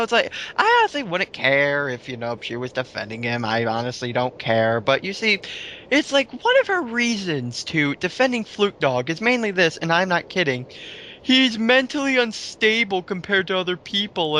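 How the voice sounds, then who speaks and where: A man's voice: high-pitched, bright, forceful tone, slight background noise. A man co-hosting a casual commentary chat in a rambling, emphatic, sweary way. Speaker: commentary video co-host.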